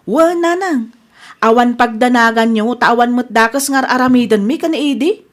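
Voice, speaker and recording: A woman acts a married Ilocano daughter in a radio comedy drama. Her voice is high, and her manner is reassuring while dodging questions. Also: normal speed, lightly acted. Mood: evasive, reassuring. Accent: Ilocano Filipino.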